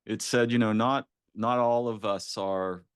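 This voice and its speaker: Low-voiced man, reflective host, dry sound. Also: fairly even intonation, unhurried, smooth, no echo. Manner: reflective, often checks in with "right?". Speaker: podcast host. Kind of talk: conversational interview.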